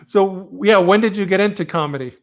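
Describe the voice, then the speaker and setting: A woman's voice: medium pitch, brisk. A woman hosting a casual chat who jokes and laughs often while asking questions.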